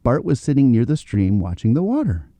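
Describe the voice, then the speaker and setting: Very mellow, animated male storyteller. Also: mid-range voice, fairly quick, dry recording. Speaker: storybook narrator. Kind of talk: scripted children's story read-aloud.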